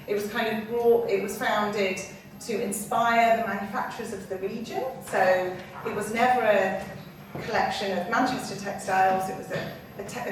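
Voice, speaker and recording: A woman from a museum's collections side explaining its history at a gallery talk, with frequent hesitations. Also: medium pitch. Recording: distant echoey mic with noise.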